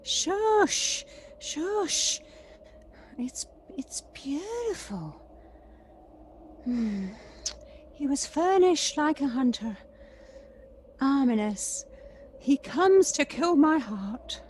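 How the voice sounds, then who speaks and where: Woman playing a high, swooping, wonderstruck visitor. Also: very animated, very slow, long pauses, darkish tone, slight background noise, full character voice. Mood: awed. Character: startled, wonderstruck woman visitor to a magical world. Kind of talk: audio play acting.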